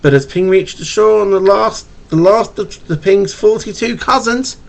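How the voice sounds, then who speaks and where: A man's voice: sits high, normal speed, gravelly. A man reading a children's picture book aloud to his child, stumbling and repeating words with a stutter. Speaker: father reading a bedtime story to his child. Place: at home, webcam recording. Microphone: webcam microphone.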